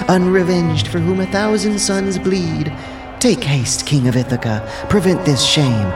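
A man's voice: medium pitch, far from the mic, pitched above the performer's own voice, close to an everyday voice. A man voicing a stern, lofty goddess who counsels in solemn rhymed declamation for an audio drama.

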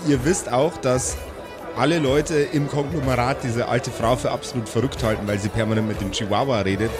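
A man's voice: mid-range voice, fairly even intonation, fairly quick, music in the background. A man narrating an improvised pen-and-paper audio play as game master.

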